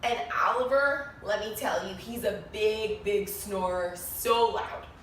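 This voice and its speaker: A woman reading a picture book to young children in an expressive, sing-song way, pausing to explain things and ask questions. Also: medium pitch. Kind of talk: animated read-aloud story for young children. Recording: very echoey room, distant mic, faint noise.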